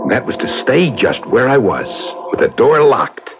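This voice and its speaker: A troubled man losing his grip in a 1970s radio thriller. He speaks in a mid-pitched, halting, fraught voice. The old recording is dull, with loud music. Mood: feverish resolve. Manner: fraught, halting, building to excitement. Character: Sam, haunted, delusional man clinging to feverish hope. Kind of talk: 1970s radio drama acting, intense confessional narration.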